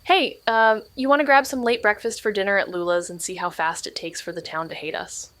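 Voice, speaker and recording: A woman's voice: mid-range voice, fairly even intonation, a little fast, fairly bright tone, no echo, lightly acted. An actress playing a wry, composed young woman detective in a naturalistic audio drama, speaking in a dry, understated way. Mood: casual, hesitant. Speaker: lead actor and narrator in an audio drama. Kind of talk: naturalistic noir podcast drama acting.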